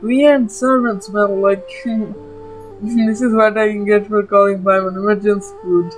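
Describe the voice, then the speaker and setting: A woman's voice: medium pitch, steady tone, moderate pace, soft background music, low-quality recording. A woman hosting a gaming video, giving informal, offhand reactions as casual commentary while she plays.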